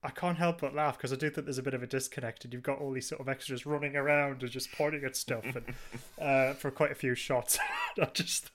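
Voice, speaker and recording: A man co-hosting a podcast in casual review chat, rambling haltingly and chuckling as he goes. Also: mid-range voice, fairly even intonation, fairly bright tone. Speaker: podcast co-host.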